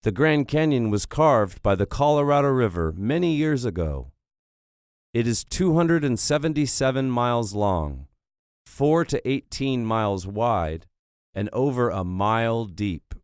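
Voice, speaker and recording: A man narrating English textbook listening tracks in a slow, clearly spoken way. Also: medium pitch, expressive, no room sound, clean studio sound. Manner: slow, clearly articulated announcing.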